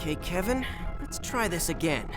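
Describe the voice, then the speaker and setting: Game cutscene hero: brave, earnest teenage boy, high-pitched and lively, music and effects underneath. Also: fairly quick. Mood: steady, wry. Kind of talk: animated video game cutscene acting.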